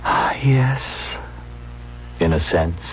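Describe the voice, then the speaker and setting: Evasive, soothing man in a radio thriller, very low voice with wide swings, dull old tape. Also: animated, extremely slow, exaggerated voice. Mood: uneasy, evasive. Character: evasive, placating man loved by an unstable woman. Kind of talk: 1970s radio drama acting. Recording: dull, noisy vintage radio recording.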